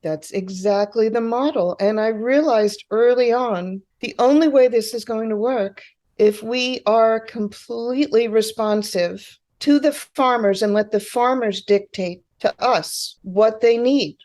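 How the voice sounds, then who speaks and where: Excitable woman, higher-pitched voice. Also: measured pace. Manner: excitable, emphatic, acts out quotes. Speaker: interview guest, food rescue organizer. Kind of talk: animated conversational explanation.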